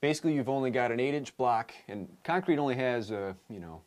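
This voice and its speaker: A man in his thirties, an energy auditor, explaining an on-site home inspection in a relaxed way with many fillers, recorded in a house basement. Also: mid-range voice, fast talker. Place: basement crawl space and attic of a house.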